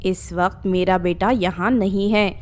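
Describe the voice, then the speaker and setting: Woman voicing a scolding, slow-talking scheming mother-in-law. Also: medium pitch, steady tone, darkish tone, faint music behind, pitched below the performer's own voice, natural voice. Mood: scheming, menacing. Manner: harsh, accusing, commanding.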